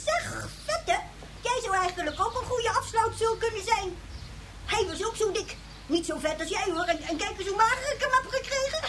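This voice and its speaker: A spoiled little girl tyrant, with an extremely high voice. She orders people about imperiously and taunts them with cruel glee in a radio play, over clearly audible noise. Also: lively intonation, moderate pace. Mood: bossy. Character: spoiled, cruel child girl ruling a tribe as supreme chief.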